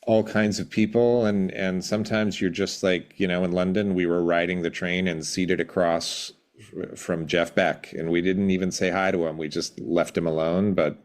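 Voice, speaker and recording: Middle-aged man, extremely low pitch, casual interview guest, musician, reflective, halting delivery. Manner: hesitant, reflective, halting mid-word.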